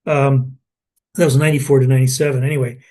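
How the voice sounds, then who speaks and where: A man's voice: medium pitch, little pitch movement, fast talker, dark tone. An older man from Canada in an oral history interview, telling anecdotes with many restarts and fillers.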